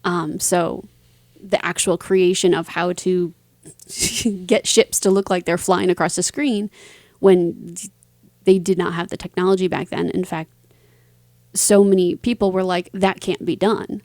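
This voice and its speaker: A woman's voice: on the low side, fairly even intonation, measured pace, darkish tone, no room sound. Woman guest, dry close-sounding voice. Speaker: interview guest, singer, author and voice actor. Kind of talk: casual interview talk.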